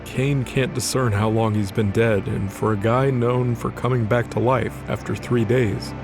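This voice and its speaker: A man's voice: mid-range voice, steady tone, unhurried, music in the background. A man narrating scripted dramatic fiction as the storyteller of an audio drama.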